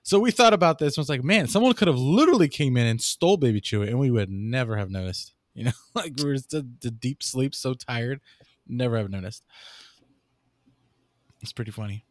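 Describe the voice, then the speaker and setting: Young new dad, podcast host, mid-pitched, rambling and animated in his telling, close and dry mic. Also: brisk, no room sound. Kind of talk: casual chat.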